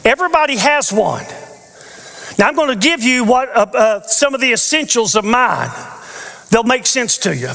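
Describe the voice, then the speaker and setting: A man's voice: very high voice, sing-song, moderate pace, vocal fry, bright, forceful tone. An older man preaching a teaching sermon from a church stage on a headset mic, earnest with playful asides. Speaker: pastor. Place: large church stage with audience. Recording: long reverb of a large church hall.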